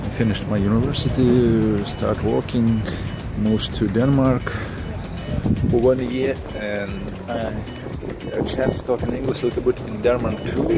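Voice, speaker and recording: Lithuanian man talking over loud music. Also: on the low side, poor audio. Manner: halting, searching for words, with repeated restarts. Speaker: vlogger. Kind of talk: casual personal storytelling.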